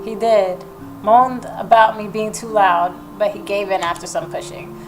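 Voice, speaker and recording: Gamer lady reading story stuff n reacting w opinions, normal pitch, game music behind her. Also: fairly even intonation, normal speed, darkish tone, rough recording. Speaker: gaming video host. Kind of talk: game commentary, reading narration aloud.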